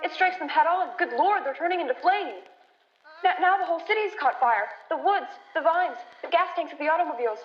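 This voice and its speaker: A woman playing a rattled radio reporter giving halting, alarmed eyewitness commentary from the field in a radio drama. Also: extremely high pitch, animated, slight background noise. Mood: rising panic. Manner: breathless, halting, repeating words in alarm. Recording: dull, echoey stage recording.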